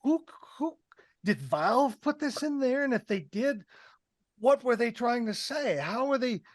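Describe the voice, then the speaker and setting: A man's voice: very high voice, lively intonation, measured pace, no room sound. An older man in his sixties talks through a film in casual, analytical discussion. His headset mic gives a dry, close sound with no room in it. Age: 60s. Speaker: film review podcast panelist. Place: indoors, on a video call.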